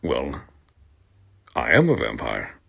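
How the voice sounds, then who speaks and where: A man's voice: very deep voice, huge pitch swings, slow, gravelly, pitched below the performer's own voice, full character voice. A man voicing a melodramatic, hot-tempered teenage goth girl from a story, overwrought in manner. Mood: hushed, curious. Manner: overwrought, swinging from confessing to shouting.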